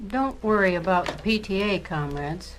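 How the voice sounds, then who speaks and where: A woman's voice: medium pitch, expressive, normal speed, background noise, lightly acted. A woman playing a confident, earnest communist comrade who reports formally and with assurance, on a dramatized spoken-word record. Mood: assured, earnest.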